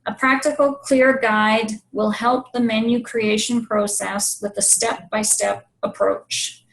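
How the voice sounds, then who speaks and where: A woman with a slightly high voice presents her team's project. She reads steadily from her slides. She is speaking into a home video call. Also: fairly even intonation, slow, creaky, rough recording. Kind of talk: reading a presentation.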